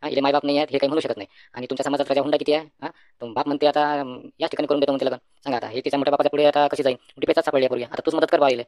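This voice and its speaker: A man's voice: mid-range voice, steady tone, rapid-fire, a little mellow, no echo, clean studio sound, natural voice. A man voicing a confident, take-charge young village friend in a comedy cartoon, colloquial, with a Varhadi Marathi accent. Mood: earnest, persuading.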